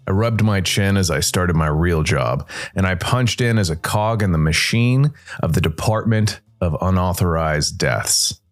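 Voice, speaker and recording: A man voicing a deep, brooding noir jazzman detective. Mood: moody, grave. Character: brooding jazz musician turned supernatural death investigator. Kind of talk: first-person noir narration.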